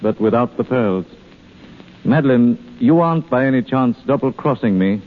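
A man's voice: mid-range voice, animated, normal speed, natural voice. A man playing a suave, sardonic swindler posing as a haughty princely uncle in 1930s radio drama. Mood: suspicious, sardonic. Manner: pompous, sardonic, theatrical. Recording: muffled, reverberant vintage 1930s radio broadcast.

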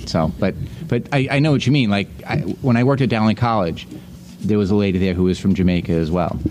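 A man's voice: lowish voice, quick pace, a little mellow, a little hiss or hum. A middle-aged man hosting a casual panel conversation, speaking in a chatty, rambling, anecdotal way. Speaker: podcast host.

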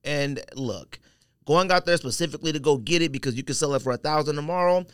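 A man's voice: on the high side, quick pace, fairly bright tone, clean tone, no echo, clean studio sound. An American man hosting a casual podcast chat, talking in slang with exclamations and rhetorical questions. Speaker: podcast host.

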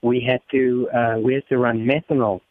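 A man's voice: medium pitch, little pitch movement, moderate pace, no room sound. A South African man telling stories casually as an interview guest, often repeating words as he goes, over a narrow-band line. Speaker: motorcycle race team member and interview guest. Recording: muffled narrow-band phone or radio sound.

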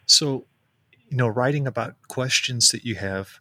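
A man's voice: mid-range voice, measured pace, pushed and bright, no echo. A man hosting a podcast who asks questions in a friendly, hesitant way with many ums. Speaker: podcast host. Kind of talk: conversational interview.

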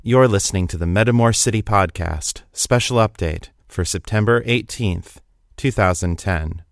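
Male podcast host, lower register, casual and conversational. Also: moderate pace, creaky, slight background noise. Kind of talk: scripted introduction and casual round-table chat.

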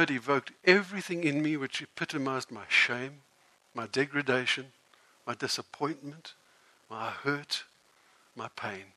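A man voicing a proud, sharp-tongued Samaritan woman in a dramatic first-person monologue, told in an animated, theatrical way. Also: medium pitch, rough recording. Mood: ashamed.

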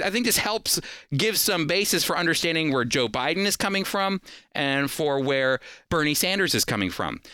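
A man's voice: fairly high pitch, pushed and bright, clear, resonant tone, dry recording. An American man hosting a radio program, delivering prepared commentary in a talk show style.

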